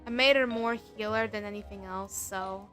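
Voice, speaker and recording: A woman's voice: mid-range voice, steady tone, normal speed, a bit bright, soft background music. A woman game livestreamer chatting casually in commentary over her stream.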